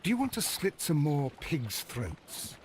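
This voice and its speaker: An actor playing a detective's sceptical English companion in a video game, with a dry, questioning manner. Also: male voice, a little high, big pitch swings, unhurried, noisy background. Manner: dry, questioning, gently exasperated. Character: gentleman detective's sceptical, wry male companion. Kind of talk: scripted video game dialogue.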